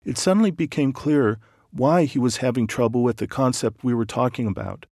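A man narrating an audiobook, calm and measured, explaining ideas patiently. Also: medium pitch, no echo, studio quality.

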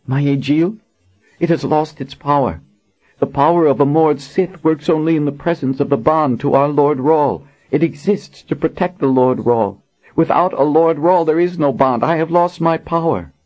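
A man's voice: on the high side, normal speed, darkish tone, voice pushed up, lightly acted. A man voicing a fierce, proud young warrior woman who speaks with an intense, urgent edge. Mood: bitter. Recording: dull lo-fi audiobook recording.

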